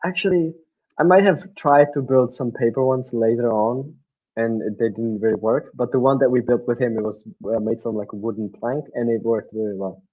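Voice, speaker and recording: A man's voice: mid-range voice, fairly even intonation. Young czech guy, accent, kinda stop-start, audio a bit dull like a video call. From Czech Republic. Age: young adult. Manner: halting, with restarts and fillers. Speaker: guest, mindset coach. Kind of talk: conversational interview answers.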